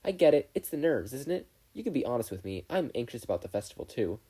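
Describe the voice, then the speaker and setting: A reader voicing a bright, friendly, playful teenage girl student in a fan fiction audiobook. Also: mid-range voice, very animated, fast talker, breathy edge. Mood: concerned, reassuring. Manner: upbeat, earnest, playful.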